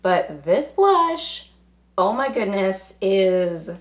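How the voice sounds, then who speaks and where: Lady, kinda hoarse, rambling makeup review chat, lots of "like"s. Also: medium pitch, sing-song, extremely slow, slight background noise. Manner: rambling, heavy on filler words. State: hoarse, losing her voice. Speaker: beauty vlogger.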